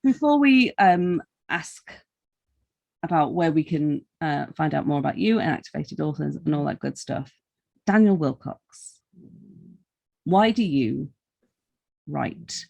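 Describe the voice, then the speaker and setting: Lady interviewer, lowish voice, informal podcast chat. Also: lively intonation, slow, creaky, long pauses, a little mellow, lo-fi sound.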